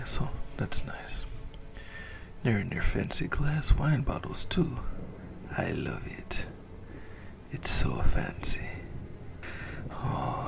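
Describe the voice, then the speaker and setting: A man playing a possessive, doting ancient vampire in a breathy, whispered ASMR roleplay, teasing and intimate as he savors his words. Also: mid-range voice, full character voice. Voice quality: breathy whisper with gravelly creak.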